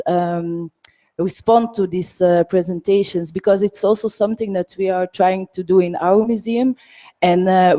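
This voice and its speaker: A woman asking a question from the audience in a large conference hall. Her voice is mellow and dark at a middling pitch. She hesitates and fills her pauses as she speaks into a handheld microphone. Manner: hesitant, many fillers.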